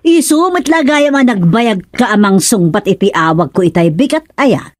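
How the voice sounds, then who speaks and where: A woman's voice: medium pitch, animated, normal speed, no echo, studio quality, lightly acted. An actress playing a scolding, suspicious older mother-in-law in an Ilocano radio comedy, with an Ilocano accent.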